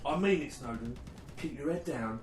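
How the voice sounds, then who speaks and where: A man's voice: mid-range voice, normal speed, recorded from a distance, close to an everyday voice. A man playing a dutiful, careworn British army sergeant in a war radio play, firm, earnest and paternal. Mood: quiet, reassuring. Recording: roomy, off-mic, faint background noise.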